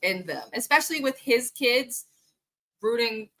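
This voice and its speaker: A woman's voice: fairly high pitch, expressive, measured pace, bright, forceful tone. A woman hosting a casual, frank reality TV recap, chatting straight to her viewers and sniffly from allergies. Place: home in the Bay Area.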